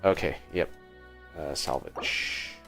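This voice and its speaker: A man's voice: extremely low pitch, slow pace. A man livestreaming a video game with casual commentary, thinking aloud in short remarks. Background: game music.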